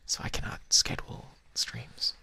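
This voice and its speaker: A live streamer chatting casually to viewers in a hushed, breathy whisper, unhurried and conversational, in an ASMR style.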